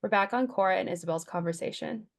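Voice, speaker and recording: A woman's voice: mid-range voice, fast talker. Narrator for table read: woman, mid-range, scripted scene descriptions. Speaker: stage-direction narrator.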